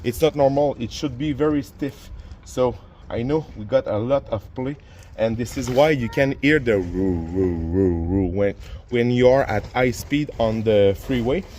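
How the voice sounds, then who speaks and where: A man's voice: mid-range voice, measured pace, dark tone, a little hiss or hum. A man whose first language is French, explaining a car repair step by step in a casual how-to manner with many fillers and restarts. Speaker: DIY car repair youtuber. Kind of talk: casual how-to narration while working. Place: garage or driveway by a car.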